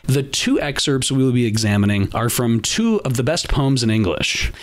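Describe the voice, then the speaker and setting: Guy reading his podcast script, earnest and emphatic, mid pitch. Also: expressive, normal speed. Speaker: podcast host.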